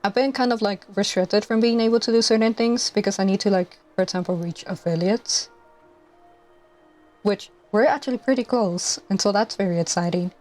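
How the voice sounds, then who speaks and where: Soft-spoken, hesitant young woman streamer. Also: medium pitch, moderate pace. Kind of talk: casual live-stream chat while gaming. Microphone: lapel mic clipped to collar. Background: faint game music.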